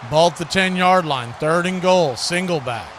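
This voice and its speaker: A high-pitched man announces football play by play. He works in short, punchy phrases. Clear background noise sits behind the live stream sound. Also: normal speed.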